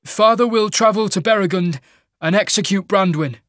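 A man's voice: high voice, moderate pace, a bit bright, no echo, studio quality, pitched above the performer's own voice. A man voicing an earnest young warrior king, an insistent and pleading son in a fantasy audiobook. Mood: resolute. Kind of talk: dramatic fantasy audiobook character.

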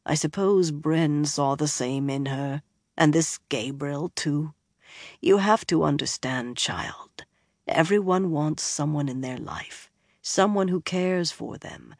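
A woman voicing a gruff older male bard who speaks low, soft and weary. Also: no echo, clean studio sound, full character voice.

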